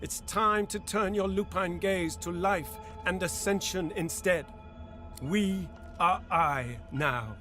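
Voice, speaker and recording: An actor playing a solemn, lofty god who guides like a mentor in a fantasy video game, speaking gravely and grandly. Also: male voice, sits high, slow pace, sharp tone, heavily acted. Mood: solemn, exhorting. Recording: orchestral music behind the voice. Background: music.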